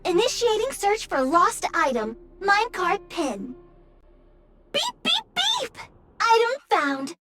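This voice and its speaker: A woman's voice: extremely high pitch, huge pitch swings, slow, exaggerated voice. A woman voicing a small, cheerful helper robot in a video game, with chirpy, formulaic machine phrasing. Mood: businesslike, chirpy. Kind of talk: video game cutscene acting. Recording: prominent music behind the voice.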